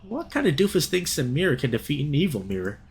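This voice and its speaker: A young male gator as acted by a man from a visual novel, playful and teasing. He talks in loose slang with a hammy, mock-heroic streak, and his pitch moves in lively swings. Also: fast talker, creaky, a little hiss or hum.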